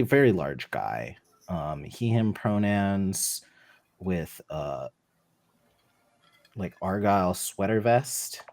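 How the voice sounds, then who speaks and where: A man playing a tabletop roleplaying game, describing his character in a halting, playful way. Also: on the low side, a little mellow.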